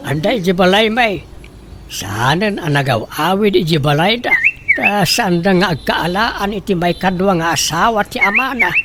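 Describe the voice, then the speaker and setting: A woman's voice: lowish voice, lively intonation, moderate pace, background noise, natural voice. A woman playing a meddling older relative in a radio drama, chatty and persuasive as a matchmaker. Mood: teasing, coaxing.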